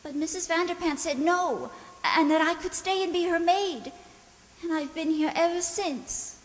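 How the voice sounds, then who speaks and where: A woman playing a frightened, naive young maid in a radio drama, speaking gently and hesitantly. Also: extremely high pitch, moderate pace, in a large hall. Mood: unhappy, timid. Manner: hesitant, timid, repeats words.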